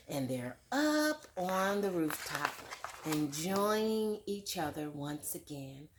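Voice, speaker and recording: A woman's voice: on the low side, slight background noise. A woman reading a picture book aloud to children, then talking it over with them like a teacher and asking them questions. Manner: warm teacherly, asks questions to young listeners. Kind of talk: children's read-aloud with discussion.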